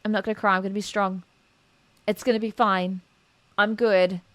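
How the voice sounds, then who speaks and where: Bright-voiced woman livestreaming a game. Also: medium pitch, level tone, moderate pace. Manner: chatty, emotionally invested, addresses chat. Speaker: game streamer. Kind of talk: casual livestream game commentary.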